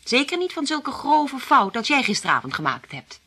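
A cool, scheming female spy from a radio play, mocking her brother with a sardonic edge, as a woman plays her. Her voice is bright, lively and slightly breathy. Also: high-pitched, moderate pace, exaggerated voice. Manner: sardonic, teasing.

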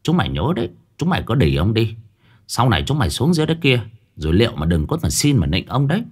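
A man voicing a grumpy young shaman who curses in a gruff, curt voice. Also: mid-range voice, very animated, quick pace.

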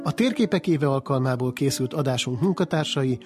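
A man reading scripted radio documentary narration in a husky voice. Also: medium pitch. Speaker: programme narrator. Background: soft guitar music.

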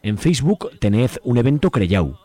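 A man's voice: medium pitch, sing-song, measured pace, dark tone. A man presenting informal announcements on the radio.

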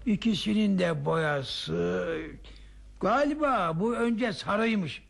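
Raspy creaky older guy, high voice, doubtful henpecked friend in radio drama. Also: expressive, slow, full character voice. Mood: hesitant, doubtful. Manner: hesitant, questioning. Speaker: radio play actor. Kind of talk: radio theater acting.

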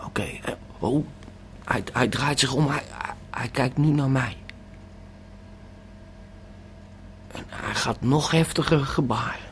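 A man's voice: mid-range voice, moderate pace, a bit bright, a little hiss or hum, close to an everyday voice. A man playing a panicky, bewildered holidaymaker tripping on a pill in an audio drama monologue, hesitant and stammering. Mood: hushed bewilderment. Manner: stammering, hesitant, repeating words.

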